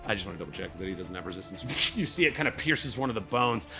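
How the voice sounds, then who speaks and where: Guy dming a live dnd game, jokey n slangy, super animated, bit of music behind him. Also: fairly low pitch, quick pace, gravelly. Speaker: game master. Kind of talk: improvised narration of a live tabletop game.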